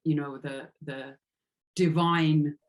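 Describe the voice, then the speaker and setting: A woman's voice: sits low, steady tone, slow pace. A woman giving a reflective interview as an author, speaking earnestly and tentatively and circling around her phrases.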